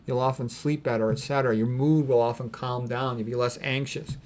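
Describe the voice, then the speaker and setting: A man's voice: mid-range voice, steady tone, a little fast, a little mellow. A man speaking informally as a doctor giving health advice, blunt and opinionated, leaning on repeated phrases for emphasis. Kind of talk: informal commentary on read quotes.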